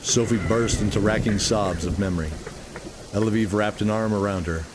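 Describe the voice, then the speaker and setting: A man's voice: on the low side, steady tone, normal speed, background noise. A man narrating a scripted audio drama in a dramatic storytelling style, with music behind him. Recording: loud music bed behind.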